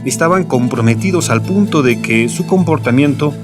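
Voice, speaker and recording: A man's voice: fairly high pitch, lively intonation, moderate pace. A man reading scripted documentary narration. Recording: music playing behind the voice. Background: guitar music.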